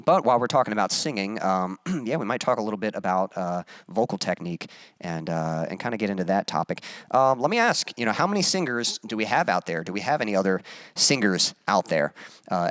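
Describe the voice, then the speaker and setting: A man hosting his own music live stream, chatting casually with viewers and reading their comments aloud, with many pauses and fillers. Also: fairly low pitch, no room sound. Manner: relaxed, halting, many fillers, reads chat aloud.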